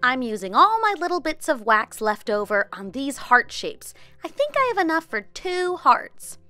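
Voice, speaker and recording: A woman in her thirties hosting a children's craft show, narrating an experiment in an expressive, child-friendly way. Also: high-pitched, moderate pace, no room sound. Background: background music.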